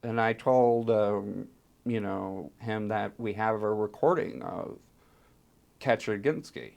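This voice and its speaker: Man, low register with heavy creak, oral history interviewee, storytelling with emphatic pauses. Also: darkish tone. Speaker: interviewee, archive fellow and translator.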